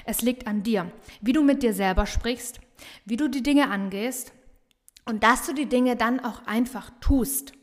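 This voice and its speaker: A woman's voice: on the high side, unhurried, in a large hall. A woman with a regional dialect hosting a motivational solo podcast, talking emphatically and energetically, often repeating phrases for emphasis. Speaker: business coach and podcast host.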